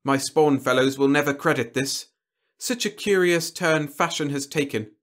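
A man's voice: fairly high pitch, normal speed, a bit bright, voice pushed up, lightly acted. A man voicing a genteel, pompous alien warlord in an audiobook, with fussy, over-polite, mannered speech. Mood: reluctant, courtly. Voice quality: scratchy. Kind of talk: audiobook character dialogue.

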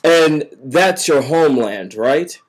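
A man's voice: on the high side, animated, slow pace. A young man playing a fake-polite, flustered talk-show interviewer in a home webcam sketch. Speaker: mock interviewer. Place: living room at home.

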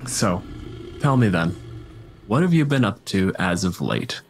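Deep-voiced, animated man running a game. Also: unhurried, slight background noise. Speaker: game master and podcast host. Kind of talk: improvised tabletop role-play narration, conversational.

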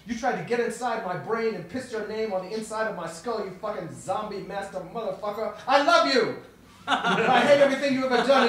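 A man's voice: sits high, fairly bright tone, voice pushed up. A man voicing a frantic woman who howls wild, nonsensical accusations. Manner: howling, frenzied, nonsensical outbursts. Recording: echoey room, mic far off.

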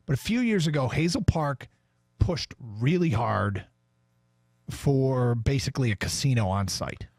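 A man's voice: medium pitch, lively intonation, a little slow, a little mellow, dry recording. A man with an American accent hosting sports radio, talking through news and commentary on a studio microphone. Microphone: studio broadcast microphone.

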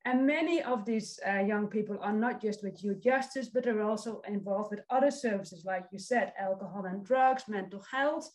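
A woman's voice: medium pitch, moderate pace, few pauses, a little mellow, poor audio. Dutch woman giving an online talk. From Netherlands. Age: 40s. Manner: friendly, encouraging, reads out audience comments. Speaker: social work lecturer. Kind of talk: online presentation to a youth summit.

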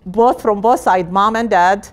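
A middle-aged woman from Palestine, a hospital director, giving an energetic personal talk in a conference room with phrasing. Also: medium pitch, a little slow. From Jerusalem, Palestine. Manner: energetic, gesturing while speaking, non-native phrasing. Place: conference room with projected slides.

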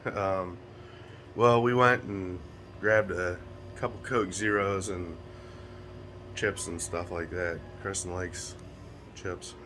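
A raspy, hoarse American man talks casually into a phone held close, his low voice worn down by a cold. His nose is stuffed up, and his loose, rambling talk is broken by little chuckles. Faint noise sits behind him. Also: steady tone, takes it slowly, long pauses. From United States. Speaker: vlogger. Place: small room indoors. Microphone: phone camera held close.

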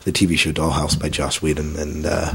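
A man's voice: rumbling low, monotone, fairly quick, vocal fry, a bit bright, slightly rough. A man in his thirties, a podcast guest, answering interview questions in a casual, rambling way with many fillers and restarts.

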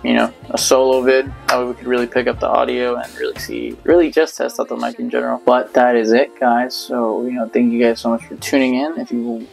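A man's voice: mid-range voice, fairly quick, vocal fry, hardly any pauses, soft background music. A man in his twenties talking casually to camera in a slangy, rambling way, on a small mic in a bedroom. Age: 20s. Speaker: vlogger. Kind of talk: casual direct-to-camera vlog talk. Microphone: small lapel mic held near the chin.

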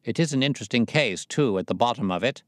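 A man's voice: medium pitch, lively intonation, fast, no room sound, clean studio sound, close to an everyday voice. A man voicing a shrewd, self-assured detective in an audiobook, reasoning in a formal, incisive manner. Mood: analytical. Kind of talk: audiobook character voice.

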